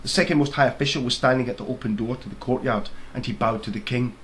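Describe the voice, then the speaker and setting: A man narrates a storybook as its author, in a mid-pitched voice. The delivery is scripted reading, and there is faint noise behind him. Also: fast talker, a bit bright. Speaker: author reading own book.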